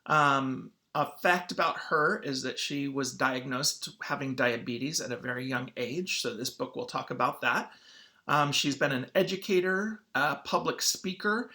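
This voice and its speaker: A man speaking as a schoolteacher in an informal read-aloud lesson for children, halting and often clearing his throat. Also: medium pitch, pushed and bright.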